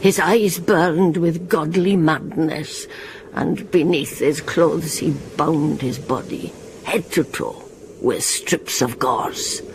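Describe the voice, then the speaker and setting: A woman narrating a ghost legend in a hushed, rhythmic, ominous way. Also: low-pitched, slow, noisy background. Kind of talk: slow dramatic storytelling.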